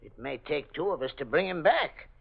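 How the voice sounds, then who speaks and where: A man's voice: a little high, big pitch swings, normal speed, vocal fry, slightly rough, heavily acted. A man playing a crazed night watchman in an old radio mystery, insistent and coaxing. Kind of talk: 1940s radio mystery acting. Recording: dull old radio broadcast recording.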